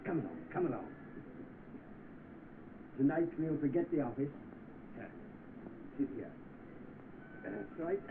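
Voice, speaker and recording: An actor with a British accent playing a scheming, lecherous rich gentleman in an old film, pompous and oily. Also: fairly high pitch, lively intonation, takes it slowly, long pauses. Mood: oily, coaxing. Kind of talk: vintage film acting. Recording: tinny, noisy old film soundtrack.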